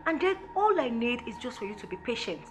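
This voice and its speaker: A woman's voice: fairly high pitch, sing-song, quick pace, noisy background. An actress playing a firm, controlled Nigerian businesswoman in a film drama, used to soothing and directing people. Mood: placating. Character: businesswoman recruiter, placating madam, firm and controlled. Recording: music underneath.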